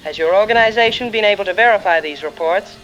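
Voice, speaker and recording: A woman's voice: mid-range voice, fast, fairly bright tone, faint music behind, lightly acted. A woman playing a serious, formal war journalist who hosts a podcast and asks probing questions in an audio drama. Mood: serious, inquiring. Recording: dull lo-fi audio with clear background noise.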